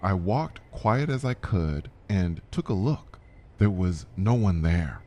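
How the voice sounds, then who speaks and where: A man's voice: deep voice, animated, slow pace, dark tone. A man narrating a suspenseful first-person story in short, dramatic phrases, as a scripted storyteller.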